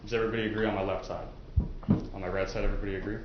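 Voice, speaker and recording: Brisk man prosecutor in echoey courtroom. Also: lowish voice, flat delivery. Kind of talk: addressing prospective jurors formally. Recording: echoey, muffled courtroom with some noise.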